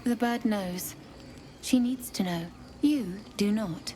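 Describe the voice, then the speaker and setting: A woman's voice: mid-range voice, slow pace, warm tone, a little hiss or hum, natural voice. An actress playing a worried druid woman in a video game, guarded, formal and earnest. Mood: grave, fearful. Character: worried druid woman fearing for her people.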